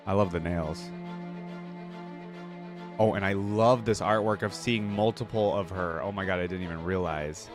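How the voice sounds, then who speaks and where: A man's voice: low-pitched, moderate pace, long pauses. A man hosting a casual reaction video, talking in a rambling, chatty way with lots of fillers and restarts. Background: faint music playing.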